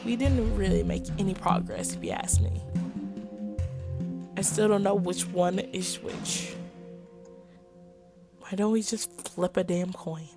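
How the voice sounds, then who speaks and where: A woman's voice: mid-range voice, measured pace, pauses often. A woman hosting a let's play, reading visual novel dialogue aloud in a dramatic, acted way. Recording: music playing behind voice.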